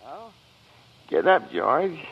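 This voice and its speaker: An ancient but childlike angel in an old radio drama. His fairly high voice swings in lively curves, gentle and quaintly earnest. The recording is dull, with music behind him. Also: takes it slowly, gravelly, breathy edge, exaggerated voice. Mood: gentle, solemn. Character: gentle, naive, ancient little guardian angel.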